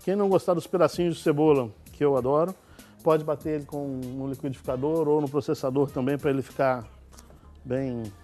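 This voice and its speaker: A man in his forties from Minas Gerais, a guest chef calmly explaining a recipe step by step in a TV studio kitchen. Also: fairly high pitch, a little fast, faint music behind. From Minas Gerais, Brazil. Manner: relaxed, explaining step by step with small restarts. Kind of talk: cooking demonstration.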